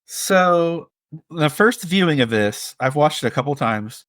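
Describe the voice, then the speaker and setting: A man with a slightly high voice co-hosts a casual movie review show. His delivery is conversational, and the recording is dry with very little room sound. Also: lively intonation, measured pace, fairly bright tone, dry recording. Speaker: podcast co-host. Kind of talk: casual film review chat.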